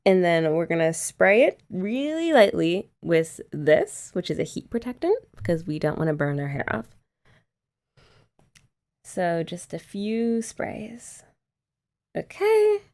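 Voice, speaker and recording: Low, gravelly-voiced young woman in ASMR roleplay. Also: big pitch swings, takes it slowly, darkish tone. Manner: chatty, explaining step by step, teasing. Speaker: ASMR creator.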